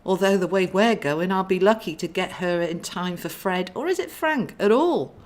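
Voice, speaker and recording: A woman's voice: fairly low pitch, normal speed, lightly acted. A woman voicing a fretful, protective young female guardian angel in a storybook, chatty and earnest. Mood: worried. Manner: chatty, earnest, easily excited.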